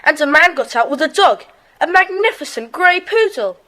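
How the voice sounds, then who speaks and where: Outspoken kid played by a woman, extremely high, bright voice, animated and loyal, for children's audio play. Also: normal speed, poor audio. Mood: eager. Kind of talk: children's audio drama acting.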